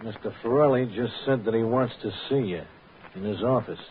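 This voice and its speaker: A man's voice: medium pitch, brisk, slight background noise, close to an everyday voice. An actor playing a belligerent, dim henchman bartender who repeats himself in slangy tough talk, in an old-time radio crime drama. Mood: menacing. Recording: tinny old radio broadcast, background music.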